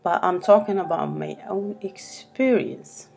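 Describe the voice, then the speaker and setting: A woman's voice: lowish voice, unhurried, creaky, darkish tone, soft background music. A woman from Morocco whose first language is Arabic, speaking English in a rambling, unscripted tutorial full of restarts and fillers. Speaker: self-publishing tutorial host.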